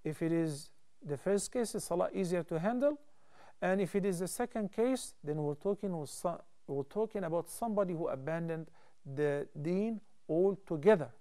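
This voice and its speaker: A man in his thirties, a studio TV scholar, answering callers' questions with calm, measured explanations into a lapel mic. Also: high voice. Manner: calm, measured, explains step by step with hand gestures. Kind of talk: studio call-in Q&A advice.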